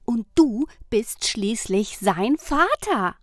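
A woman's voice: fairly high pitch, very animated, takes it slowly, no room sound, studio quality, exaggerated voice. A woman playing a caring, commanding sun goddess in an audio drama, earnest and reproachful. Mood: indignant. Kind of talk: German audio drama acting.

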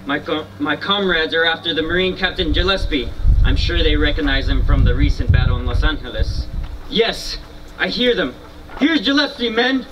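A man's voice: fairly high pitch, normal speed, lo-fi sound, lightly acted. A man playing a proud, cocky Californio lancer, haughty and taunting, in a live historical dramatization. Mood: excited. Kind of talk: live historical battle dramatization read from script. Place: outdoor stone amphitheater, far camera. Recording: noisy, distant outdoor recording.

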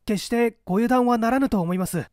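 A man's voice: very high voice, fairly quick, a little mellow, no room sound, studio quality, voice pushed up. A man voicing an earnest, anxious secretary in an audiobook, speaking urgently and respectfully to his superior. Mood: earnest warning. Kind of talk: audiobook character acting.

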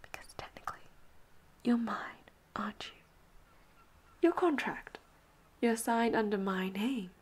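A flirty, commanding pirate captain, acted by a woman for roleplay audio. She has a breathy, husky voice and takes her time, slipping often into a whisper as she teases and claims her listener as her own. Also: on the high side. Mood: flirty, protective. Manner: teasing, drawn-out endearments, often drops to a whisper.

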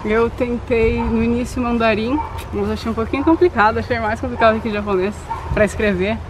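Relaxed young woman. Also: on the high side, noisy background. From Brazil. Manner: relaxed, thinks aloud with pauses. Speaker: vlog co-host. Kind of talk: casual chat. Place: outdoors in an open grassy field. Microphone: camera mic at arm's length.

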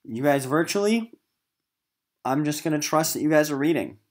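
A man's voice: on the high side, lively intonation, normal speed. A man speaking as a middle school literature teacher, giving an informal recorded lesson in a casual, conversational way straight to his students. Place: voice-over on a screen recording of slides.

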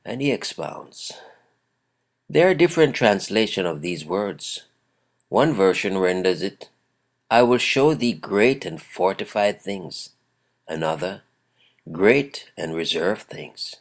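A man with a croaky voice giving a daily devotional, chuckling often and reading scripture aloud with emphasis. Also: deep voice, expressive, takes it slowly. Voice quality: gravelly. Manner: chuckles often between phrases. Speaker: devotional host.

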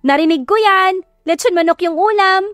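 A woman voicing an excitable, sweet teenage younger sister in an audio drama, eager and exclaiming. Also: very high voice, normal speed, no room sound, studio quality, faint music behind, pitched above the performer's own voice. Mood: excited.

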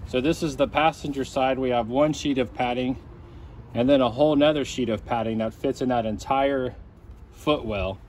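A man's voice: mid-range voice, moderate pace, a little mellow. A middle-aged man with an American accent giving a casual, plain-spoken, step-by-step DIY car repair tutorial. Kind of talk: casual hands-on tutorial narration. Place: outdoors on a patio and driveway, and at a car. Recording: clear background noise, outdoor ambience.

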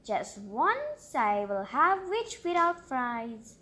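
Storybook dragon, acted by a woman, male, hungry, rhyming lines, high pitch, bright tone. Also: big pitch swings, takes it slowly, exaggerated voice. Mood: menacing. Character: mean, hungry male dragon who turns cowardly.

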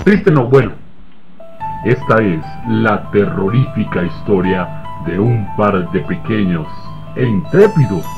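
Man acting as comic horror radio narrator, mock-ominous, deep, unhurried. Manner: mock-dramatic, hesitates and corrects himself.

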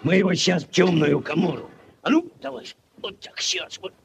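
A man's voice: high voice, sing-song, brisk, vocal fry, lo-fi sound, slight background noise, heavily acted. An actor playing a bossy, blustering village headman full of exclamations, in 1940s radio style. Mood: excited, bossy. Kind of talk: 1940s Soviet radio acting.

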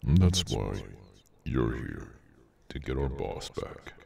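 A man voicing a cursed, inhuman henchman in an ASMR roleplay, bored, sardonic and menacing, with an unhurried dry delivery. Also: big pitch swings, dark tone, far from the mic, exaggerated voice. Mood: calm menace. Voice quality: very deep, gravelly. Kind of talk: ASMR audio roleplay, slow dramatic monologue.

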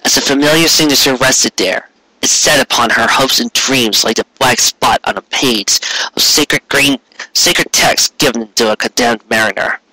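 Bright-voiced man narrating dramatically. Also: mid-range voice, normal speed, no room sound, rough recording. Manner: theatrical, earnest. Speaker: amateur fan fiction reader.

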